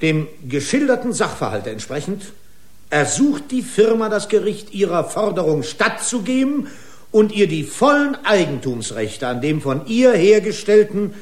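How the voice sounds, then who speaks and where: An actor playing an indignant, officious company lawyer pleading in court with pompous, long-winded legalese in a radio drama. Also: male voice, high-pitched, big pitch swings, moderate pace. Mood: haughty, formal. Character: indignant, officious corporate attorney arguing in court. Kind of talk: German radio drama acting.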